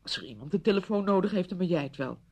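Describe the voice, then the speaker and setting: A woman's voice: lowish voice, animated, fast talker, a little mellow, breathy edge. An actress playing a well-meaning, pushy woman friend in a radio play, insistent and easily defensive, full of practical advice. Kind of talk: Dutch radio play acting.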